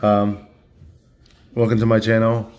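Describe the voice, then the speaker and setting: Sick guy with a hoarse flat voice, lots of uhs, chatting at a table at home. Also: fairly low pitch. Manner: halting, many fillers and restarts, monotone. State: losing his voice from illness. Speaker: card-opening channel host. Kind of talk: casual unboxing talk.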